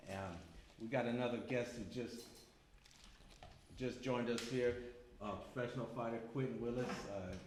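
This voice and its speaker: A man's voice: mid-range voice, fairly even intonation, normal speed. A man hosting a casual boxing talk show, asking guests questions in an easygoing way with lots of filler words. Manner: laid-back, asks questions with filler words. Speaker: podcast host. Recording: roomy sound with faint background noise.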